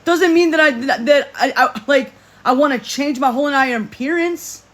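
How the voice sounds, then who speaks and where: A vlogger in their thirties talks straight to camera in a casual monologue. They say they have a deep voice, and they speak emphatically, often repeating and restarting phrases. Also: moderate pace, fairly bright tone. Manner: speaks in a self-described deep voice.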